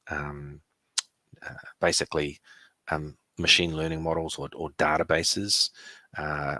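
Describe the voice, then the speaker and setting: A man with a New Zealand accent giving a conference presentation, relaxed, with many fillers and restarts. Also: rumbling low, dry recording.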